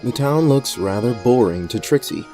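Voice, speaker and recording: A man's voice: medium pitch, animated, normal speed, music in the background. A man narrating a fantasy adventure as game master in a scripted storytelling style.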